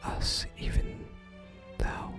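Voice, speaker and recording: An ASMR gamer voicing a solemn, archaic-speaking sage from a fantasy game in a hushed whisper. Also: drawn-out words. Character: solemn archaic sage guarding a sacred staff. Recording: soft music under the whisper.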